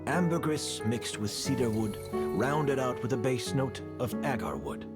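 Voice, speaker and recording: A man playing a measured, lilting perfume mentor. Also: medium pitch, animated, loud music behind the voice, lightly acted. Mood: instructive. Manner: measured, instructive.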